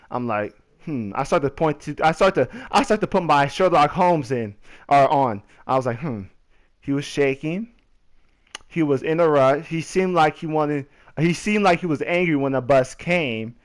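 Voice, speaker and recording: A young American man telling a casual story over gameplay in a slangy, conversational way, talking straight to his listeners. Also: fairly high pitch. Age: young adult. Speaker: gaming video storyteller.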